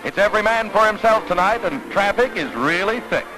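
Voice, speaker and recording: A high-voiced American man announces in the grand manner of a 1940s newsreel. His delivery is scripted, declamatory and dramatic, with prominent music running behind him on an old film soundtrack. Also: animated, fairly quick, a loud crowd, rough recording. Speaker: newsreel narrator. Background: orchestral newsreel music.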